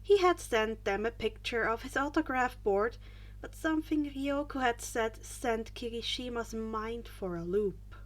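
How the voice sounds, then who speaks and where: A woman with a fairly high voice reads a story aloud. She sounds like an amateur audiobook narrator working from a script, now and then stumbling and picking a phrase up again. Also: animated, unhurried. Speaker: fan fiction audiobook narrator.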